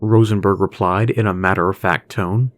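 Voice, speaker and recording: A man's voice: low-pitched, steady tone, normal speed, dry recording. A man reading scripted story narration as the narrator of an audio horror story. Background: music bed with guitar.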